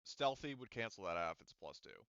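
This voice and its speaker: Low-voiced man running a tabletop game. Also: very animated, a little fast, gravelly, a bit bright, clear, resonant tone, dry recording, dull audio. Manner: rambling, lots of fillers and restarts. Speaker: game master.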